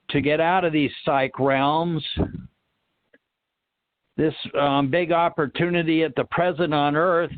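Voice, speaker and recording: Very slow, hesitant man, tinny phone sound. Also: a little high, dry recording. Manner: hesitant, searching for words. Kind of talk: casual spiritual reflection in group talk.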